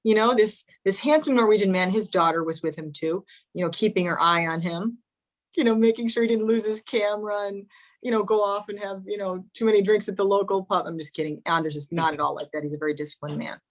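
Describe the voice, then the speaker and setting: A woman's voice: mid-range voice, quick pace. A woman on a panel, telling stories casually over a video call, full of "you know" and wry asides.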